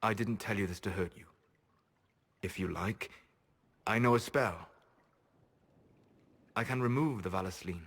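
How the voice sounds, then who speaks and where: A melancholy wise elf mage for a fantasy game, low and husky, slow formal solemn way of talking. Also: male voice, a bit bright, heavily acted. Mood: gentle, regretful. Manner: measured, formal, solemn delivery. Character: grave, gentle male elven mage, melancholy and wise. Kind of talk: dramatic video game acting.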